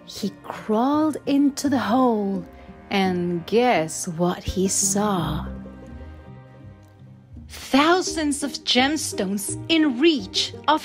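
Very slow woman storybook narrator over music. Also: mid-range voice. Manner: measured, deliberate phrasing with pauses. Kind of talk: reading a rhyming children's picture book aloud. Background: instrumental backing music.

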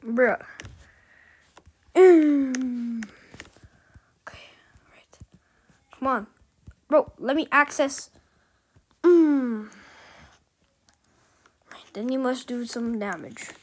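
Playful high-voiced woman gaming. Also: very slow, long pauses, husky. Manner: playful, often drops into whispers and sing-song teasing. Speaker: gaming channel host. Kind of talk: casual gameplay commentary with a friend.

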